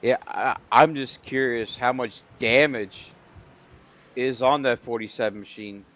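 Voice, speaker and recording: A man as color commentator on a virtual race, reacting with short exclamations and slow remarks about car damage. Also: mid-range voice, steady tone, a bit bright. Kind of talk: sim racing commentary.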